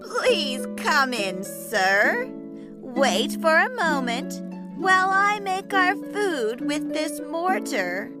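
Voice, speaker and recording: Woman playing very high-pitched, sweet old wife. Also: very animated, drawn-out words, music in the background, full character voice. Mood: hospitable. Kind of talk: children's fairytale cartoon acting.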